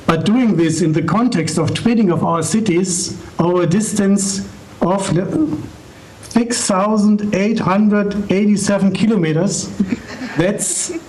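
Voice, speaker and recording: A man with a German accent giving a deliberate, formal prepared greeting over a noisy video call. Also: high voice, darkish tone. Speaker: library staff member giving a greeting. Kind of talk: prepared speech at a launch event.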